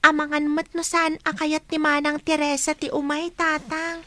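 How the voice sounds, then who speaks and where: Woman playing high-voiced dutiful young daughter. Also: level tone, moderate pace, bright, forceful tone. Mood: polite. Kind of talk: Filipino audio drama acting.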